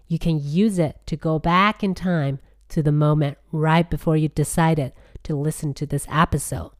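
Husky, nasal woman speaking very slowly. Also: lowish voice, a little mellow, no room sound, clean studio sound. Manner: grandiose, slow and deliberate. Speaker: podcast host. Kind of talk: scripted comic monologue.

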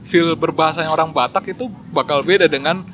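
Agreeable man, bright voice. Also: on the high side, quick pace, soft background music. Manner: agreeable, frequent short interjections. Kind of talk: casual chat. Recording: noticeable background noise.

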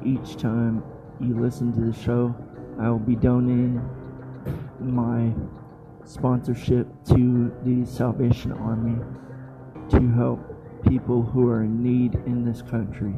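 A man hosting a podcast, reading an outro in halting, short phrases. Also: mid-range voice, completely flat delivery. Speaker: podcast host. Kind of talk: scripted podcast sign-off. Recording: dull lo-fi audio. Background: prominent plucked guitar music.

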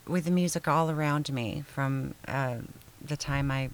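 An older woman from Michigan, a singer-songwriter guest, answering questions hesitantly with many pauses and small laughs. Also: low-pitched. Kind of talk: casual interview chat.

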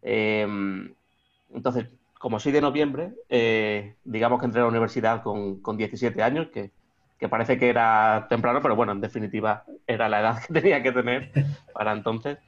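A man's voice: lowish voice, steady tone, moderate pace, highs cut off. Hesitant Spanish man from Extremadura. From Extremadura, Spain. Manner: hesitant, many restarts and fillers. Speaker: guest. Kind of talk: remote interview conversation.

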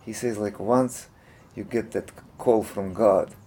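A man's voice: lowish voice, steady tone, slow pace, warm tone, a little hiss or hum. A man from Croatia, speaking English as an in a hesitant interview, full of fillers and restarts. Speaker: interview guest, monk. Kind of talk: casual interview talk.

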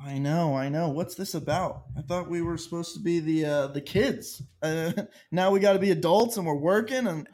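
A man hosting a sports podcast, playful and teasing, who rattles off sponsor plugs quickly. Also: on the high side, a little mellow. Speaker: podcast host. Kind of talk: casual sports podcast chat.

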